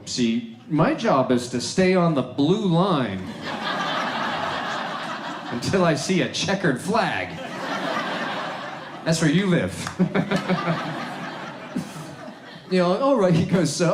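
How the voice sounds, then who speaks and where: A male comedian doing a loud, punchy stand-up set, breaking into sung lines. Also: a little high, sing-song, slow pace, pauses often, echoey room, heavy background noise.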